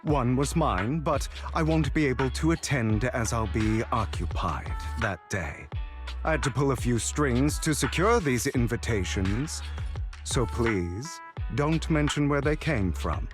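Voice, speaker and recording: An actor voicing a courteous, polished, secretive gentleman in a video game, speaking formally and with flattering politeness. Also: mid-range voice, a little slow, faint music behind, lightly acted. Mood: suave, polite. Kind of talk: scripted video game dialogue.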